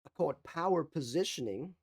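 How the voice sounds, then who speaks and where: Husky-voiced man giving a motivational talk. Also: on the high side, expressive, brisk. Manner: emphatic, repeats key phrases. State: slight cough. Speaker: mindset coach.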